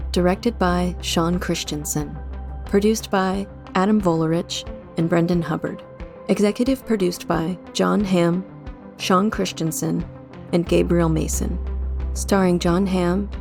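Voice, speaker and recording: A woman announcer reading scripted podcast credits in a measured way. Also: lowish voice, creaky, darkish tone. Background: prominent music.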